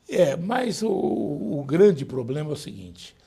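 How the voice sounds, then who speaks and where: An older Brazilian man talking in a studio interview, his voice husky and mellow. His pitch moves a lot as he makes his points with conversational emphasis. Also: very animated, slow pace. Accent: Brazilian Portuguese. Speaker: veteran journalist guest.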